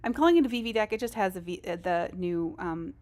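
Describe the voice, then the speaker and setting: A woman's voice: fairly low pitch, expressive, quick pace, a little mellow. A woman live streamer chatting casually over a game, in a rambling, informal and self-deprecating way. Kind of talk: casual gaming stream chat.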